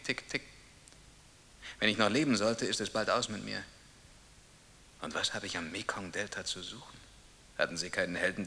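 An actor playing a bewildered, earnest would-be hero in a radio play, declaiming and brooding. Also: male voice, sits low, sharp tone, full character voice. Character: bewildered man, self-proclaimed dead hero, earnest. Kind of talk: German radio play monologue and dialogue. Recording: long reverb, audible hiss.

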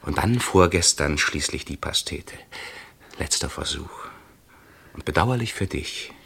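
A man's voice: extremely low pitch, animated, a little slow, creaky, a bit bright, slightly rough, exaggerated voice. An actor playing a jealous husband who confronts his wife in a radio crime play. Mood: cold, accusing. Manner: cold and accusing, then panicked. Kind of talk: radio drama acting.

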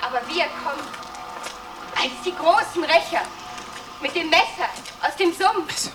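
Shrill, defiant young streetwise woman. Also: expressive, measured pace, over music. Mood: bitter, resigned. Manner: coarse slangy street talk. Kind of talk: gritty radio drama acting. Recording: distant, over loud background noise.

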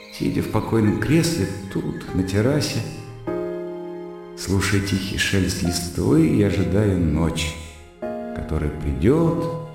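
An actor playing a reserved, weary scholarly brother in a radio play, musing reflectively in inner monologue. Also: male voice, on the low side, vocal fry. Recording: very echoey hall, music behind.

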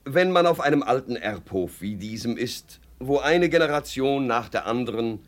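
An actor playing a kindly, moralizing village pastor with an unctuous preaching manner, in 1950s German radio drama style. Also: male voice, medium pitch, expressive, close to an everyday voice. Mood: pious, gentle. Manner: unctuous, measured preaching with hesitations.

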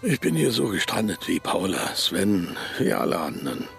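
Radio drama: low, rough-edged, secretive loner who speaks in hushes. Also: male voice, wildly swooping pitch, normal speed, faint music behind, full character voice. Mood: hushed. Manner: hushed, cryptic, bitter. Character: brooding man stranded in a small town, haunting the river by night.

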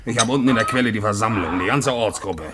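An actor playing a middle-aged communist pub landlord in a radio drama, stubborn, blustering and argumentative. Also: male voice, lowish voice, fast, natural voice. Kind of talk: German radio play acting. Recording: noisy old radio drama recording.

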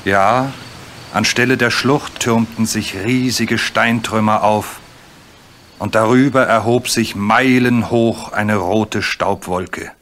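A man narrating a radio play story in a measured, literary manner. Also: fairly low pitch, fairly bright tone, slight background noise.

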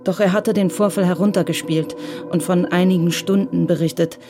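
Measured radio play narrator. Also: mid-range voice, steady tone, music in the background. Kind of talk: scripted narration.

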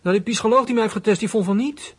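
A performer playing a small troubled runaway boy in a radio drama, husky and hesitant, speaking in plain colloquial phrases. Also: medium pitch, fast talker, close to an everyday voice. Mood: sulky chatty. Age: child character. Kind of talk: radio drama acting with whispered inner monologue.

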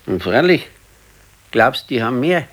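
An actor playing an elderly working-class husband with a Bavarian accent in a naturalistic radio play, curt, dry and grumbling. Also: male voice, medium pitch, big pitch swings, a little slow. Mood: grumbling, defensive.

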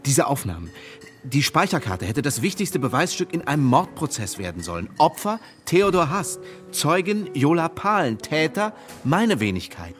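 An actor playing a weary, resigned diving instructor who narrates a radio drama in a terse, matter-of-fact way. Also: male voice, a little high, sing-song, moderate pace, a bit bright, faint music behind. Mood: defensive. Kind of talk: German radio drama, intimate first-person narration and dialogue.

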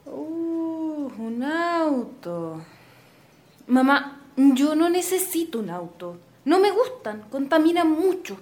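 An actress playing an earnest, idealistic young Chilean daughter of a landowner in a radio drama. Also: female voice, sits high, big pitch swings, slow pace, a little mellow, exaggerated voice. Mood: firm, indignant.

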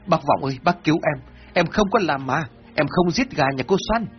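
A man voicing a frightened male villager who begs and swears his innocence in a high, whimpering voice. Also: animated, fast, natural voice. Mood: pleading. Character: fearful male villager, pleading and sobbing. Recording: dull lo-fi with faint music.

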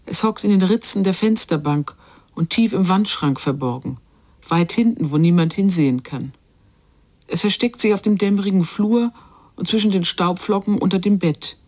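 Actress as lady narrator for a literary radio play, medium pitch, measured storytelling. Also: darkish tone, lightly acted. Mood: measured, observant. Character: observant storyteller describing a child.

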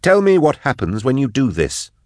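A man voicing an outspoken, indignant male scholar who challenges and yells sarcastically in an audiobook story. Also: mid-range voice, huge pitch swings, moderate pace, dry recording, studio quality, voice pushed up. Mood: sarcastic, pressing.

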